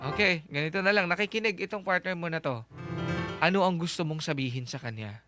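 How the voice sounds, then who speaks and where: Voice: mid-range voice, a little fast, pushed and bright. A co-host with a Filipino accent giving conversational advice, backing up points and seeking agreement. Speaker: radio advice show co-host. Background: music bed.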